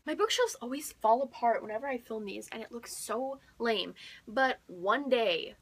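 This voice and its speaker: A young American woman chatting casually and excitedly to viewers as a book vlogger, gushing and emphatic, often repeating words for emphasis. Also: a little high, normal speed, husky.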